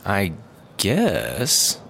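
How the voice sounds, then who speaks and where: A man's voice: low-pitched, huge pitch swings, drawn-out words, vocal fry, a bit bright, slight background noise, heavily acted. A man playing a clueless, cheeky fellow in a comedy audio drama, with a glib, oblivious delivery. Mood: baffled. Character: clueless, cheeky man, flippant lovelorn rehab patient.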